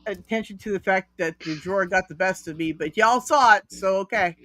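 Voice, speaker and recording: A man's voice: high voice, fairly quick, pushed and bright, no room sound. A man chatting on a panel, giggly and rambling through stories. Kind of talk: casual chat.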